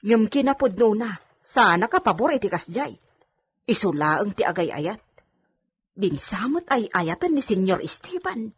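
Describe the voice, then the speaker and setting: A woman's voice: medium pitch, very animated, unhurried, husky, full character voice. A woman playing an outspoken, protective maidservant in a radio drama, speaking with an Ilocano accent. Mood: indignant.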